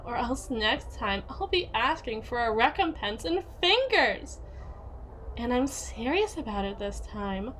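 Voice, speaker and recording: A woman's voice: a little high, a bit bright, slight background noise, low-quality recording. A woman playing a domineering, possessive, jealous young yandere in a scripted ASMR roleplay, with a sardonic, needling delivery. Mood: menacing. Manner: sardonic, foul-mouthed, needling, with hesitant trailing repeats.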